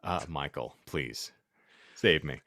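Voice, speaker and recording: A man's voice: a bass voice, slow pace, fairly bright tone, dry recording, clean studio sound. A man from the United States co-hosting a casual comedy chat in a sardonic, theatrical way. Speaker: podcast co-host.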